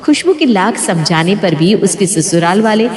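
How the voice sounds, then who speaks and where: A woman's voice: medium pitch, expressive, moderate pace, a little mellow. A woman reading scripted narration for a moral family story. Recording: long hall-like reverb.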